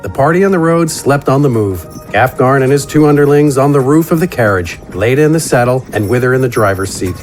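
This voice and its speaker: A man's voice: mid-range voice, expressive, brisk, few pauses, faint music behind. A man reading scripted fantasy narration in a dramatic style, as the narrator of an audio story.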